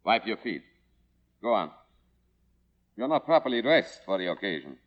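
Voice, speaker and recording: An actor playing a stern, solemn butler with a curt, formal manner in an old radio drama. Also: male voice, fairly low pitch, big pitch swings, normal speed. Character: stern, solemn male butler to a grand lady. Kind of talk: 1950s radio drama acting. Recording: dull vintage radio recording.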